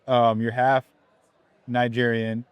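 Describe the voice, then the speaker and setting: Male podcast host, mid-pitched and dry. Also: level tone, slow pace, smooth, no room sound, highs cut off. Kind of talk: casual interview chat.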